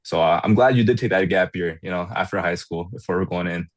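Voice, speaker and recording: Fast-talking low-voiced male co-host. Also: no room sound, clean studio sound. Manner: quick, agreeable interjections. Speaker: podcast co-host. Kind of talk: casual interview chat.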